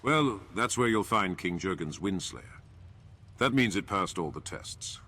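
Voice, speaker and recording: A man's voice: low-pitched, lively intonation, measured pace, pushed and bright, exaggerated voice. A man playing a solemn elder monk in video game dialogue, making ceremonial declarations. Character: solemn male elder monk of fantasy order.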